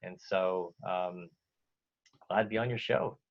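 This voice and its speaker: Low-voiced Canadian man on a dull line. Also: takes it slowly. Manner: relaxed, lots of fillers and restarts. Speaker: guest. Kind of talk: casual interview answers. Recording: dull lo-fi audio.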